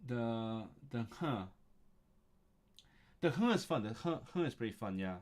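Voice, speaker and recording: A man in a casual livestream works through a textbook, reading passages aloud and then musing on them in his own words. He sits at an ordinary middle pitch and chuckles to himself often. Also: expressive, a little slow, darkish tone. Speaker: language learner streaming his study session.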